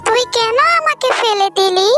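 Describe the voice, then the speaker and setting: Cartoon cub girl, played by a woman: tiny, extremely high voice, childlike, whiny and coaxing, over music. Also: expressive, moderate pace. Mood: hurt, complaining. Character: pleading, playful little girl animal cub. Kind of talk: children's animated cartoon dialogue.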